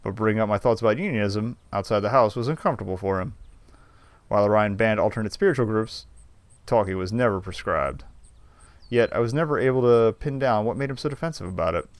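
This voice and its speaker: A man's voice: on the low side, fast, dry recording. A male game streamer reading visual novel narration aloud, with wry, sardonic asides. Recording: faint music behind voice.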